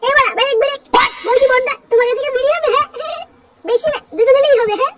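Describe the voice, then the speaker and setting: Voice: very high voice, fairly even intonation, very fast. A performer voicing a brash, demanding, hot-tempered boy from the city in a comic cartoon. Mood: whining, pushy. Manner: brash, foul-mouthed, demanding. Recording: very noisy cartoon soundtrack.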